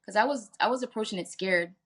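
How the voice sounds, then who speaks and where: A woman's voice: medium pitch, quick pace, fairly bright tone. A woman from Jamaica, a singer talking candidly in a casual interview, laughing easily and restarting her sentences. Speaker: guest singer.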